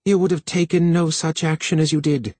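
A man reading an audiobook voices a cranky, whimsical old council elder with a husky voice and an insinuating manner. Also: a little high, steady tone, a little fast, no echo, pitched above the performer's own voice, natural voice. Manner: sharp, insinuating, commanding.

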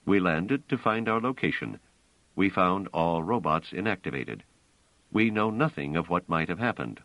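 A man's voice: deep voice, big pitch swings, moderate pace, fairly bright tone, dry recording, highs cut off, heavily acted. A man voicing a weary, commanding male space traveler in a storybook reading, speaking firmly.

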